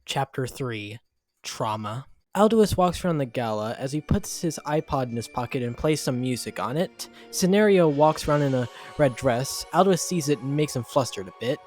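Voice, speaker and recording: A man's voice: medium pitch, lively intonation, moderate pace, a little mellow. A man narrating an amateur dramatic reading from a script, in the role of storyteller. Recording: music behind voice. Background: music.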